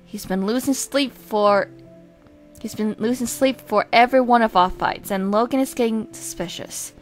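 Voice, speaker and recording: A woman's voice: fairly high pitch, moderate pace, faint music behind, lightly acted. A woman voicing a proud, prim male twin in an amateur comic dub, haughty and theatrical but grudgingly kind. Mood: awkward, reluctant.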